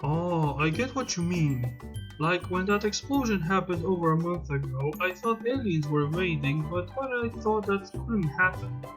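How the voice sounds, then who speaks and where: A reader of unclear pitch voicing a curious, casual young male dragon from a cartoon fan story. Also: expressive, moderate pace, music in the background, natural voice. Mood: curious, puzzled.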